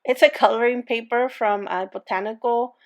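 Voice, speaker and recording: A woman's voice: fairly high pitch, steady tone, normal speed, darkish tone, dull audio. Chatty woman with a slight accent. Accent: non-native English accent. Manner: chatty and rambling, many fillers and restarts. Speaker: crafting channel host. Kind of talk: casual crafting tutorial chat.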